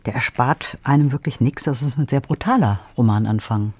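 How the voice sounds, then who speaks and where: A man of medium pitch hosts a book discussion on the radio. He comments and asks questions in a very dry studio. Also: brisk, darkish tone, husky, no room sound. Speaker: radio host.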